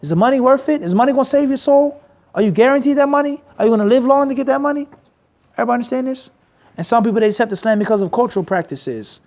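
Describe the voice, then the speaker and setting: A man's voice: extremely high pitch, animated, rapid-fire, a little mellow. A man teaching in a mosque, preaching emphatically and asking the crowd rhetorical questions. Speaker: Islamic teacher. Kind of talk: lecture with Q&A.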